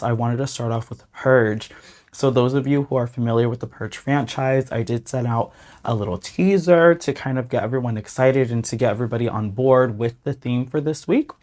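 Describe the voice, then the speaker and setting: A man with a slightly hoarse voice hosting a tarot reading channel, talking casually and earnestly to his viewers. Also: medium pitch, a little mellow. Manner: earnest, often adds "okay?" and "you guys". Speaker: tarot reader and channel host. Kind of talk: casual talk to camera.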